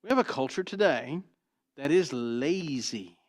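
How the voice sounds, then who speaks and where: A pastor with a booming, trained voice preaching an expository sermon, swinging from loud emphasis to quiet asides and asking "Why?" before he answers. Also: expressive, a little slow, dry recording, studio quality. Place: church sanctuary.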